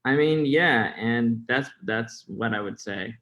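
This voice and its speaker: A man's voice: medium pitch, takes it slowly, a bit bright. A young American man with a stutter talking candidly and informally as an interview guest, hesitating and repeating words. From Florida, United States. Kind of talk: candid personal interview talk.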